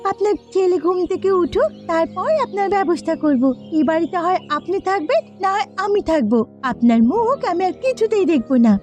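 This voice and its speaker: A woman acts out a sharp-tongued young village wife from a cartoon. Her voice is very high and her manner nagging and melodramatic, with music behind it. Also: lively intonation, brisk, hardly any pauses, highs cut off. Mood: scornful, angry.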